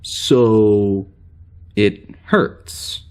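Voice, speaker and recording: A man's voice: lowish voice, extremely slow, a little mellow, soft background music. A man playing a weary, wry spaceship security officer recording a log in an audio drama, rambling casually and hesitantly. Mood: teasing. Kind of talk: audio drama spoken log entry, naturalistic.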